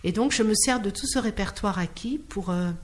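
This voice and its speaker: Woman, mid-pitched, expressive anecdotal interviewee who voices the people in her stories. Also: normal speed. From Clermont-Ferrand, France. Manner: expressive storyteller, acts out quoted dialogue. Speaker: interview guest, singer working in hospitals. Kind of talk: animated anecdotal interview talk.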